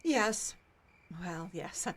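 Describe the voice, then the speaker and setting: Wealthy small-town lady in radio drama; formal, clipped, evasive, middle-pitched. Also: expressive, extremely slow, fairly bright tone, full character voice. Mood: guarded. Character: prim, guarded, well-off small-town woman.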